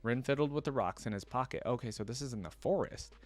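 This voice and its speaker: A man reading a story aloud as a reaction host, expressive in the telling, with casual asides. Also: medium pitch, fast talker, no echo.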